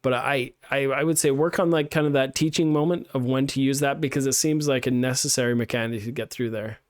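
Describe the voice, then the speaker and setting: A game design instructor reviewing a student level on a live stream, thinking aloud with many fillers and restarts while his voice is getting hoarse. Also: medium pitch, fairly even intonation, fairly quick, dry recording. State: losing his voice. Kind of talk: live-streamed playtest feedback.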